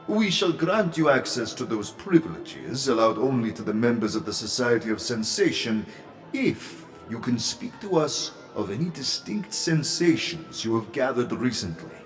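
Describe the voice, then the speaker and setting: A man's voice: mid-range voice, lively intonation, moderate pace, faint music behind, lightly acted. An actor playing a formal, solemn male doorman in a fantasy audio drama, speaking ceremoniously. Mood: solemn, measured.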